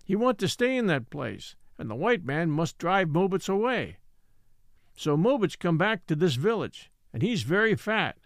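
A man's voice: high-pitched, sing-song, normal speed, dry recording, studio quality. A man voicing an old Native man in a story reading, resigned and scornful, speaking simple broken English with rhetorical questions. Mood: wry scorn. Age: older.

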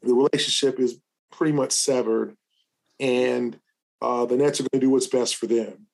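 A man's voice: medium pitch, fairly even intonation, moderate pace, vocal fry, rough recording. A man co-hosting a sports podcast, giving casual commentary and thinking aloud as he talks, often restarting and hedging. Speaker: podcast co-host.

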